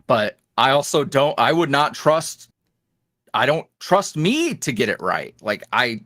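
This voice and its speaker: A man's voice: medium pitch, very animated, measured pace, a bit bright, no room sound. A man speaking casually and candidly as an interview guest, tossing off self-deprecating asides. His voice moves up and down a lot, and the close microphone catches it dry and clean. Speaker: guest, ASMR roleplay creator.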